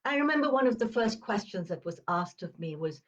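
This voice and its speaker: A woman in her seventies from Uganda with an upper-crust British accent, telling a reflective personal story with crisp enunciation and deliberate pauses. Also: mid-range voice, expressive, lo-fi sound. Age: 70s. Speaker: podcast host.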